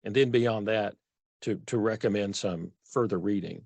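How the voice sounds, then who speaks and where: A middle-aged Texan man with a low voice, talking earnestly as an interview guest. He restarts and corrects himself as he works through a point. The sound is dry and close to the mic. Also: normal speed, gravelly, a little mellow, husky, dry recording. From Texas. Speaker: author guest. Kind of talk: conversational interview.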